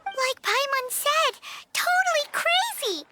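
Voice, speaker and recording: A little fairy companion in a video game with an extremely high, childlike squeak. The delivery is blunt and excitable, swinging up and down. Music plays clearly behind the voice. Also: female voice, slow pace, heavily acted. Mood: incredulous. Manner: speaks of herself in the third person. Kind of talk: animated game voice acting.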